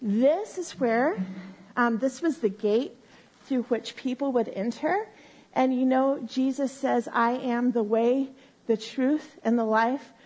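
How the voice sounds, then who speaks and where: A woman teaching a Bible lesson to a live group on a microphone, explaining slowly and step by step and often checking in with "right?". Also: fairly high pitch, low-quality recording. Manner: says she has a loud voice. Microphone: handheld or stand mic.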